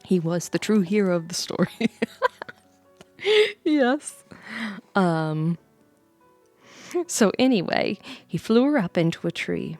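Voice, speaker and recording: A woman's voice: on the low side, animated, takes it slowly, long pauses, darkish tone. A woman streamer reading a storybook aloud, playfully breaking off for casual asides and reactions. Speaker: streamer host reading bedtime stories. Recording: close dry voice over background music.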